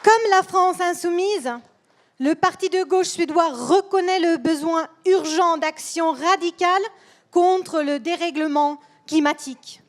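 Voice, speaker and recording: High-voiced Swedish woman giving a slow speech. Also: some chatter behind. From Sweden. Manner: careful, deliberate delivery with pauses and repeated words. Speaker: political speaker. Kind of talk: political speech. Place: rally hall.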